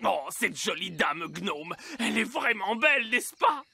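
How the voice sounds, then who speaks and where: A man's voice: high-pitched, sing-song, a little slow, gravelly, bright, forceful tone, breathy edge, exaggerated voice. An actor playing a chatty, food-loving male gnome in a children's cartoon dub, theatrical and singsong. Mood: lovestruck. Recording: music behind the voice.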